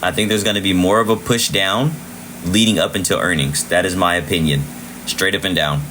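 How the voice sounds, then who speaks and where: A man with a deep but forceful voice hosts a live trading stream, shouting out followers and walking through charts in a loose, repetitive way. His voice is wearing thin and going hoarse. A faint room hum sits under him. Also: fairly even intonation, a little fast, low-quality recording. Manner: chatty, shouting out viewers, repeats himself. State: losing his voice. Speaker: livestream trading host. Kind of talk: casual live chart commentary.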